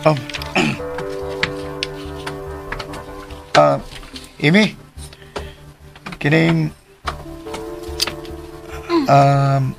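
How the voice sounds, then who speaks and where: An actor playing a casual, coaxing young suitor in a radio drama, with a Filipino accent. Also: male voice, on the high side, steady tone, drawn-out words, vocal fry, music in the background, full character voice. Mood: awkward. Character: smooth-talking young male suitor, casual and eager.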